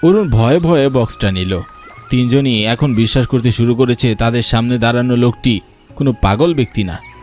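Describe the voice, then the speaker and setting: A man's voice: mid-range voice, moderate pace, darkish tone. A man narrating a cartoon folk story as a scripted storyteller. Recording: music behind the narration.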